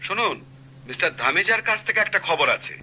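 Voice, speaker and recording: An actor playing a polite, eager middle-aged gentleman speaking on the telephone, brisk and courteous. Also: sits high, lively intonation, slightly rough, exaggerated voice. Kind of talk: 1970s radio drama acting.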